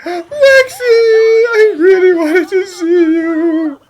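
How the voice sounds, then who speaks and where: A woman's voice: extremely high pitch, very slow, darkish tone, heavily acted. A woman playing a wealthy, imperious madam in a cartoon fan dub, theatrical, effusive and grand. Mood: gushing. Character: gushing, imperious wealthy madam, doting on her pet.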